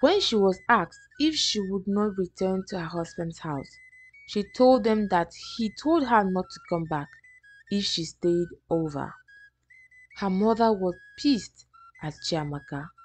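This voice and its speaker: Woman telling a folktale, scripted read, mid pitch, music playing behind her. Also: darkish tone. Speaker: storyteller. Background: background music.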